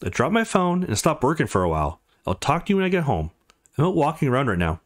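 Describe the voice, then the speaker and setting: A man voicing an apologetic, reassuring young male student in a visual novel, in an earnest, expressive way. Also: fairly high pitch, fast. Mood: reassuring, sorry.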